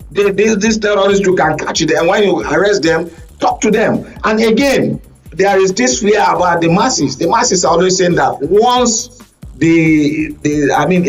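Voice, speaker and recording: Nigerian man on a panel, higher voice, really driving his points home, sounds away from the mic. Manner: emphatic, repeats phrases for stress. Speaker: guest media analyst. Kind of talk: opinionated panel commentary. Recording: distant microphone, faint music behind.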